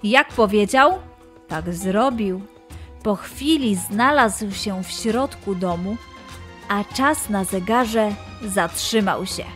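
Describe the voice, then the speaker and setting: A woman narrating a scripted children's story in an expressive, deliberate manner. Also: medium pitch, soft background music.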